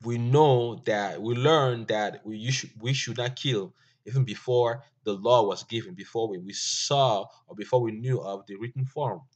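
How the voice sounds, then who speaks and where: A man whose first language is Ewe, giving an informal language lesson in a slow, halting way with many fillers and restarts. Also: medium pitch. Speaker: teacher. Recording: dull lo-fi recording.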